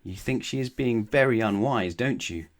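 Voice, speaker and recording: A man reading a children's storybook aloud in a measured, expressive way with emphatic phrasing. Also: mid-range voice. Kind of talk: children's bedtime story narration.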